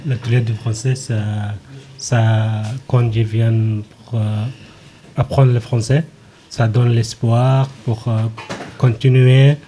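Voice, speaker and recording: Sudanese man, halting learner French, flat tone. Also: medium pitch, slight background noise. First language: Zaghawa.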